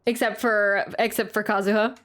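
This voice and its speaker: A woman chatting casually as she streams and reacts to a video game, in an informal, rambling, halting way. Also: mid-range voice, level tone, a bit bright. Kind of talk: casual live reaction chat.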